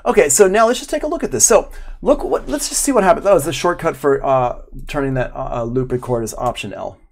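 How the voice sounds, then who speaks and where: Guy teaching audio software on a livestream, middle-aged, chatty, kinda thinks out loud as he clicks around. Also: a little high, lively intonation, quick pace, vocal fry. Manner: chatty, thinks aloud while demonstrating. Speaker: audio production instructor. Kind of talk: informal live online software lesson. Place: indoor room at home, live stream.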